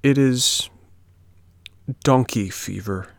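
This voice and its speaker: A man doing a sighing little female marmot from a storybook, grave and gentle. She speaks slowly and softly, with a gravelly rasp. The sound is close and dry. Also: medium pitch, dry recording, pitched above the performer's own voice. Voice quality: slow, grave, soft.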